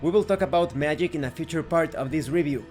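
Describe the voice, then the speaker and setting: A man's voice: fairly high pitch, fast, darkish tone, faint music behind. A man narrating a scripted tabletop game review in a methodical, explanatory way, as the host.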